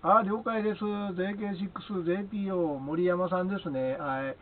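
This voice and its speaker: High-pitched man on narrowband radio. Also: fairly even intonation, a little fast. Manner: polite. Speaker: amateur radio operator. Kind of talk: ham radio contact, unscripted. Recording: thin narrowband radio audio, faint noise.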